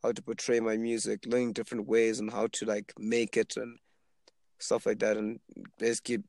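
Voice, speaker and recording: Flat-voiced young man from Zimbabwe. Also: lowish voice, moderate pace, no room sound, clean studio sound. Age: 20s. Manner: relaxed, rambling with many fillers. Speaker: aspiring rapper. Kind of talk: casual chat.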